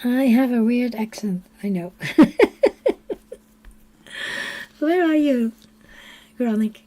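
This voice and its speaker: A woman with a Dutch accent talks slowly and softly, her voice a little high but mellow. She chats casually as she colors, sometimes falling to a near whisper. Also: lively intonation. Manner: soft-spoken and unhurried, drifting into quiet half-whispers. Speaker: coloring channel host. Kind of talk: casual chat while coloring on a live stream.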